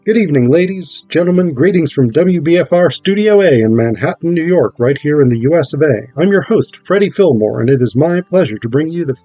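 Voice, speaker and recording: A man's voice: on the high side, animated, a little fast, few pauses, dark tone, no room sound, soft background music, close to an everyday voice, rough recording. A man reading the part of a genial, showy 1940s radio announcer welcoming listeners in a radio play. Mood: showy welcome.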